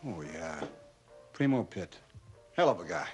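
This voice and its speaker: A man's voice: low-pitched, big pitch swings, measured pace, soft background music. An actor playing a sardonic, slangy, needling tough man in a film, with a wisecracking manner.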